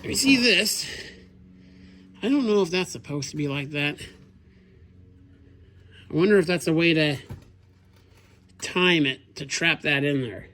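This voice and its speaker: A man's voice: fairly high pitch, expressive, measured pace, pauses often, fairly bright tone. Man with a cold musing in his shop. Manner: thinking aloud, plain-spoken, musing. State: congested from a cold, sniffling. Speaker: machinist, channel host. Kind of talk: casual shop commentary while working. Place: machine shop workbench.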